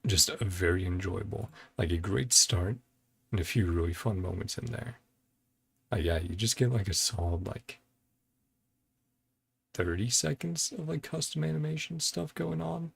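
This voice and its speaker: A man's voice: fairly low pitch, moderate pace, gravelly, husky, no echo. A man giving casual reaction commentary as a video host, thinking aloud in a laid-back way with music behind him.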